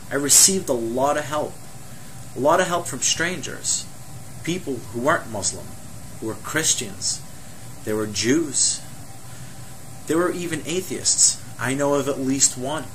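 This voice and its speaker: A young man from Egypt tells his own story in a measured, earnest voice of medium pitch. He often slows down and leans on rhetorical questions. A faint hiss sits under the recording. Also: a bit bright, rough recording. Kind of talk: personal testimony monologue.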